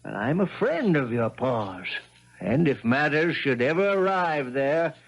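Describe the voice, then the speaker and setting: Folksy, sly country judge, lively pitch. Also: male voice, slow pace, gravelly, lo-fi sound, full character voice. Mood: probing, folksy. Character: folksy small-town Southern judge, sly and probing. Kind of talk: 1970s radio drama acting. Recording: 1970s radio broadcast.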